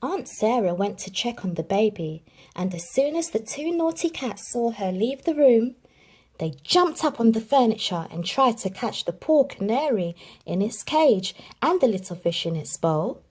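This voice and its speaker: Husky, lively woman reading a children's story. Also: a little high. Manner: expressive storytelling with dramatic pauses. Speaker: narrator. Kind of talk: reading a children's picture book aloud.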